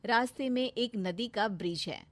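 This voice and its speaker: A woman narrating a moral story in a clear, steady storytelling manner. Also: on the high side, moderate pace, no echo.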